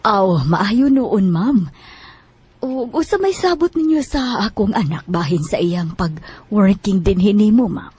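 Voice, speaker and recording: A humble, concerned mother in a Filipino radio drama. She has a very husky, breathy voice of middling pitch and a Bisaya accent. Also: animated, measured pace, a little mellow. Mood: polite, concerned.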